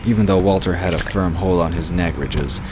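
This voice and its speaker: A man's voice: sits low, fairly quick. A man narrating a fantasy story from a script, as the narrator of an audio drama. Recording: clear background noise and ambience.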